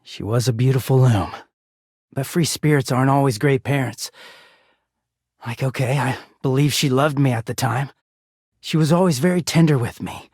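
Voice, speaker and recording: A man voicing a wounded, conflicted living garment in a video game, husky and emotional, confiding with some swearing. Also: mid-range voice, normal speed, a little mellow, no room sound, clean studio sound. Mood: wistful, hurt.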